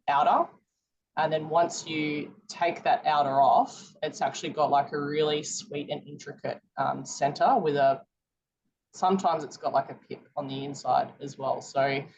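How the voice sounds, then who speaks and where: A woman co-hosting a podcast, speaking in an unhurried, thoughtful way with frequent "um"s. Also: low voice, a little mellow, slight background noise. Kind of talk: casual chat. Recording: dull lo-fi audio.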